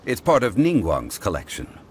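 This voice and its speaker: An actor playing a calm, learned man in a video game, speaking in a measured, matter-of-fact way. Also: lowish voice, slightly rough, exaggerated voice. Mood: calm, informative. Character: calm learned man, knowledgeable about old legends. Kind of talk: video game character dialogue.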